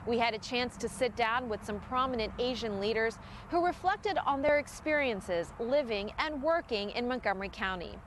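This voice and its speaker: A woman reading the scripted narration of an informational video. Her delivery is smooth and even. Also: fairly high pitch, moderate pace, a little hiss or hum.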